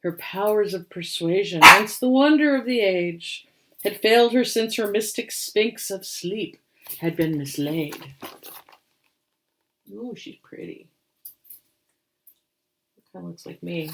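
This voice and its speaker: A woman giving a dramatic reading of a children's storybook in a theatrical, expressive manner. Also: mid-range voice, slow, long pauses, noisy background.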